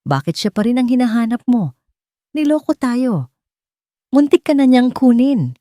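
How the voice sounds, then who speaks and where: A weary young father in an audio drama, played by a woman narrator. He speaks with hushed intensity and a wounded heart. The recording is dry and close. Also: a little high, sing-song, measured pace, dry recording, voice pushed up. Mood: hurt, bitter. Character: weary, hurt young father of a small child.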